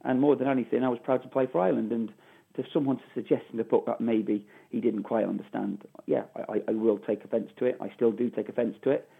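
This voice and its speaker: A man's voice: mid-range voice, fairly even intonation, fast, gravelly, husky. A middle-aged man with a Mancunian accent, a former footballer answering interview questions in an emphatic way that repeats phrases for stress, heard down a phone line. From England, Manchester. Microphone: phone call.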